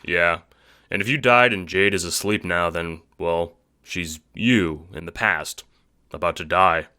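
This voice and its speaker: A man acts out a laid-back teenage boy in an audio drama. His voice is low and bright-edged, recorded very dry. He talks in terse, casual slang with a deadpan cool. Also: a little slow, smooth, dry recording. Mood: cool, matter-of-fact.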